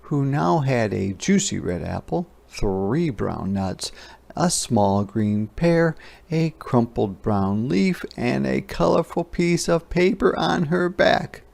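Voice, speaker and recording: A man narrating a children's picture book aloud in a deliberate, expressive storytelling style. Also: medium pitch, creaky. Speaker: story reader.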